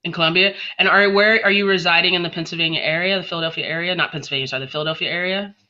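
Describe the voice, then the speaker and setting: A man's voice: sits high, steady tone, rapid-fire, pushed and bright. A man on a panel in an online meeting, conversationally clarifying questions and giving short answers. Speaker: scholarship panelist. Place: virtual meeting on a video call. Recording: dull video-call audio.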